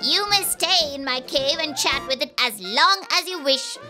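A woman's voice: high voice, lively intonation, measured pace, bright, forceful tone, faint music behind, full character voice. A woman voicing a cunning, cheeky male fox in a children's story, with a singsong, teasing delivery. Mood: mocking.

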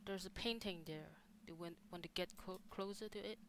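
A young woman, not a native English speaker, doing casual live game commentary and reading dialogue aloud in short, halting phrases. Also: lowish voice, background noise. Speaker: live streamer. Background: game music.

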